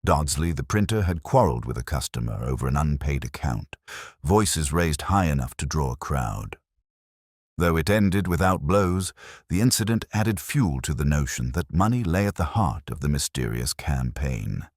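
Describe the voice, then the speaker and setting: Male narrator, very low register with lively intonation, measured literary delivery for mystery fiction. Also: no echo, clean studio sound. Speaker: audiobook narrator. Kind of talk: scripted narration of a mystery story.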